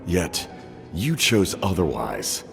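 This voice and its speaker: Very deep, gravelly, commanding captain. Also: male voice, very animated, heavily acted. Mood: stern. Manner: formal, measured, stern. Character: grave, proud male Fatui captain, commanding and guarded. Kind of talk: video game voice acting. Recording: long reverb, clear music behind.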